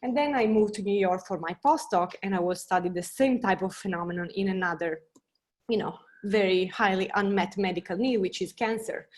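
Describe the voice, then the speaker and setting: A woman's voice: mid-range voice, a little mellow. Italian-accented woman, earnest and searching. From Italy. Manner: earnest, searching, many fillers and restarts. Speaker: scientist panelist. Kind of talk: career talk on a panel.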